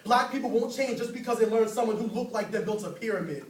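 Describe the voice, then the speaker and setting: High-voiced man reciting slam poetry. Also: quick pace. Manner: rhythmic, rhyming, declamatory delivery. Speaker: spoken word poet. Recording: roomy, distant mic, faint noise.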